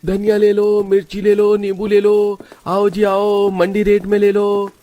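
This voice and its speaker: A man's voice: high-pitched, monotone, measured pace, mellow, voice pushed up. A man voicing a struggling street fruit and vegetable hawker in a family story. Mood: calling out wares.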